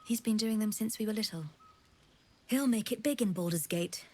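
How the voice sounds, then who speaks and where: A woman's voice: medium pitch, normal speed, darkish tone, natural voice. An actress playing a proud, fond young woman in a video game. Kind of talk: video game character dialogue.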